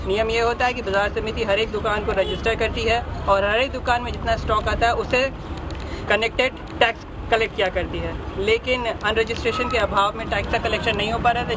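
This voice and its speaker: High-voiced official guy grilling shopkeepers, firm, loud traffic noise behind. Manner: stern, firm interrogating tone. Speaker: government official. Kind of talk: questioning shopkeepers, then a press statement. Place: outdoors on a busy market street.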